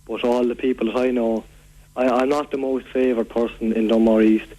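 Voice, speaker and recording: Irish male, Waterford accent, acting as a fed-up local phoning in to radio, earnest, repetitive, mid-pitched. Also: flat delivery, a little fast, warm tone, close to an everyday voice. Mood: aggrieved, earnest. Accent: Irish, County Waterford. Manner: rambling, repetitive, earnest complaining. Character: aggrieved, rambling local man fed up with food-throwing youths. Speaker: radio phone-in caller. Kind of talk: prank call to a local radio show. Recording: phone call on local radio.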